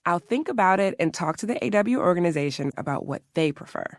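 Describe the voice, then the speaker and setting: A woman playing a hospitable herb shop keeper in a video game, measured and agreeable. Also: lowish voice, lively intonation, dry recording, studio quality, natural voice. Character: hospitable female herb and remedy shopkeeper.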